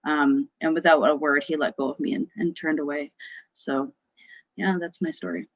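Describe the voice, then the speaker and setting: Female law student, twenties, informal testimony, halting delivery, slightly dull and dry sound. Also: sits low, fairly even intonation, no room sound. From Canada. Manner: hesitant, frequent ums. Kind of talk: personal testimony, informal storytelling.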